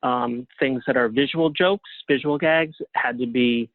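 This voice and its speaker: A man giving conversational interview answers as a guest, speaking haltingly and thinking aloud as he goes. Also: mid-range voice, no echo. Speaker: guest playwright and librettist. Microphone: phone or call line. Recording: thin phone-line sound.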